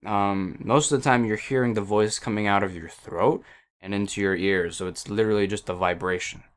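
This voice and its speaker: Guy on a headset mic rambling about audio stuff, kinda low voice, lots of ums and restarts. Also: fairly even intonation, a little fast. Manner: hesitant, many fillers and restarts, self-deprecating. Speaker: livestreamer explaining audio settings. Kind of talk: casual rambling tech explanation. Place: at a computer by a wall indoors.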